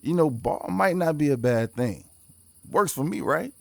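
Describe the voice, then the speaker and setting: A man playing a guarded, pragmatic mission leader in his forties in a naturalistic audio drama, speaking in a measured, reflective way. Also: on the high side, expressive, creaky, dry recording.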